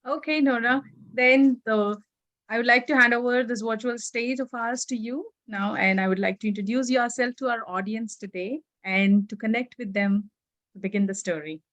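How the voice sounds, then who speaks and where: A woman's voice: a little high, steady tone, normal speed, fairly bright tone, dry recording. A woman hosting an online session, handing over to the speaker with polite, formal announcements. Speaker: session host.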